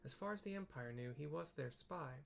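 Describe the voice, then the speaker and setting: A man voicing an earnest woman who leads a secret order in a fantasy game, speaking in measured, formal sentences. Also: a little high, animated, gravelly, slight background noise. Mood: solemn, confiding.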